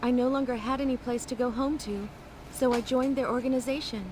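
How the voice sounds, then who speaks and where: A woman voicing a calm, courteous second-in-command of a spaceship in a video game, speaking in a measured way. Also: on the high side, a little hiss or hum, close to an everyday voice. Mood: explanatory, calm. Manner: measured, polite, composed.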